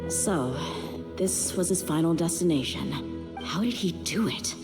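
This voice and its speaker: A tough, guarded mercenary woman from a video game, with a low voice. She is blunt and brooding, speaking in terse, weighty phrases. Music plays clearly behind her. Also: expressive, measured pace.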